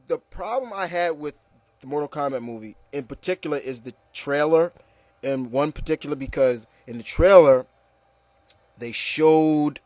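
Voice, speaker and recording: A man's voice: medium pitch, a little mellow, no room sound. A man giving casual, rambling opinion commentary, repeating phrases and addressing listeners emphatically. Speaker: video commentator.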